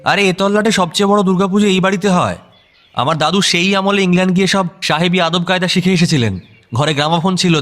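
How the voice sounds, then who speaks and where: A man's voice: on the high side, fairly bright tone, close to an everyday voice. An actor playing a breezy, boastful young man in a casual, slangy audio drama. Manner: slangy, offhand. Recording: music bed under voice.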